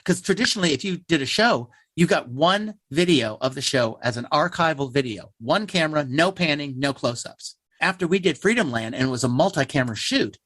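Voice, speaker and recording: Higher-pitched man answering in an interview. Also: expressive, a little fast, hardly any pauses, fairly bright tone, dry recording. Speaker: theater actor and playwright, interview guest.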